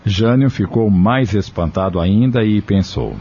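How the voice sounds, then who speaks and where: A man's voice: fairly low pitch, unhurried, dark tone, lo-fi sound, slight background noise. A man narrating a scripted dramatic story as the narrator of an audio drama.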